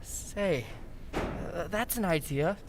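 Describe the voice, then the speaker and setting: A woman's voice: fairly low pitch, expressive, slow pace, a little hiss or hum. A woman playing a nervous, polite young man in an old-time radio drama, speaking with hesitant deference. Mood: eager, hesitant. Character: Edward Darrow, nervous, polite young male civic reformer.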